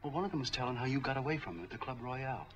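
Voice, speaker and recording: An actor playing an eager, friendly street peddler in a 1940s film, chatty, slangy and obliging. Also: male voice, medium pitch, lively intonation, rapid-fire, dull audio, lightly acted. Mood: urgent, confiding. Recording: faintly noisy 1940s film soundtrack.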